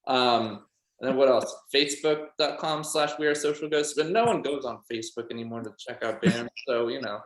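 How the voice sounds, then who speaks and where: A man's voice: mid-range voice, fairly quick. Young man, casual, in an echoey room. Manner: informal, joking. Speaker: band member guest. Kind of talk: casual chat. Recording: roomy echo on the voice.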